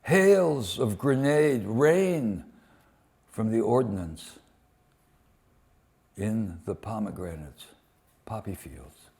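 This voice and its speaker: A man giving a dramatic live poetry reading, delivering short phrases deliberately with weighted pauses. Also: lowish voice, lively intonation, vocal fry, a little mellow. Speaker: poet reading translated war poems at a poetry event.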